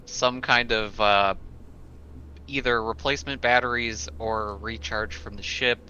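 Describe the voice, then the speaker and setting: A man's voice: on the low side, steady tone, measured pace, bright, forceful tone. A man chatting casually as a player at a tabletop game. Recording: dull lo-fi audio with faint noise.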